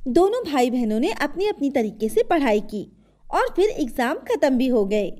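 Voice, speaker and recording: Lady reading a kids story, high voice, really up and down. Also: big pitch swings, normal speed, mellow. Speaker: story narrator. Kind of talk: scripted children's story narration.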